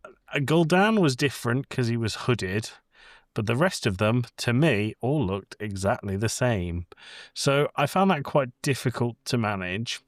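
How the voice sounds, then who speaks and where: A man's voice: fairly low pitch, no room sound, studio quality. A man co-hosting a casual podcast, giving blunt opinions in a conversational way with some swearing. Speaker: podcast co-host. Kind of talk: casual movie discussion.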